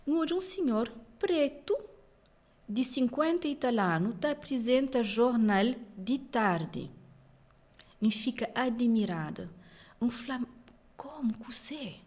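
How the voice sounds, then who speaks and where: A woman from France with a Cape Verdean accent, a journalist telling her story as a podcast guest in lively conversation. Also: a little high. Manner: animated storytelling, quick laughs. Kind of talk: casual interview conversation.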